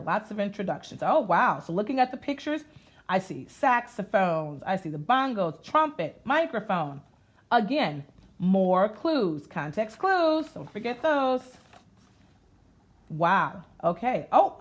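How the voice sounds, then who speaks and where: A woman reading aloud to children in an expressive, sing-song way, talking to them directly as she goes. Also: medium pitch, measured pace. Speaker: children's story-time reader. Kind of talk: interactive read-aloud to children, part sung.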